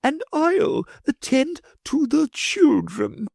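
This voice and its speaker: A man reading an audiobook, voicing a haughty, scheming noblewoman in a high, shrill, falsely sweet voice. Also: very animated, takes it slowly, gravelly, a little mellow, no room sound, clean studio sound, heavily acted. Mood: imperious.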